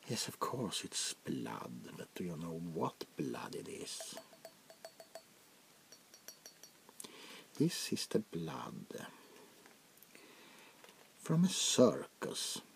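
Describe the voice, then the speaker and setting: A man playing a jaded, sardonic aristocratic vampire prince in a soft-spoken ASMR roleplay, gravelly and often dropping to a whisper, languid and mockingly courteous. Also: deep voice, wildly swooping pitch, slow pace, slight background noise, heavily acted. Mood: sly, theatrical.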